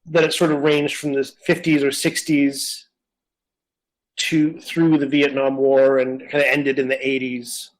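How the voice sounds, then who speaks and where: A man's voice: mid-range voice, level tone, a little slow, poor audio. A man co-hosting a podcast, talking casually in a rambling, opinionated way. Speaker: podcast co-host. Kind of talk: casual conversational discussion.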